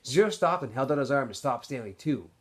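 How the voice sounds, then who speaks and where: Animated, husky man reading a novel aloud. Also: medium pitch, a little fast. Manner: animated storyteller. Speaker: teacher or school staff reading aloud to students. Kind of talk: expressive audiobook-style narration.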